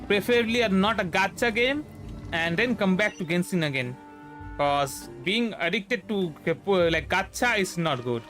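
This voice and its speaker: High-voiced young Indian-accented male streamer. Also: animated, bright, forceful tone. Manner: halting, repeats words and trails off. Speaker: game streamer. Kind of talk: casual livestream chat while playing. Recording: voice over faint game music. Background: faint game music.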